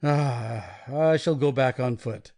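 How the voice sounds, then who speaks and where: A woman's voice: very deep voice, slow pace, dark tone, exaggerated voice. A woman voicing an anxious, practical husband in a dramatic audiobook reading. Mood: resolute.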